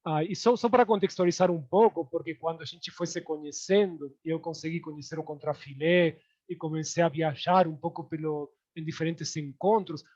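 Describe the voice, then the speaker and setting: Argentine man speaking Portuguese, muffled sound. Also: on the high side. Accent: Argentine Spanish. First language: Spanish. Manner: relaxed and digressive, thinking aloud with many hesitations. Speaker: guest artist. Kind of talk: online panel talk.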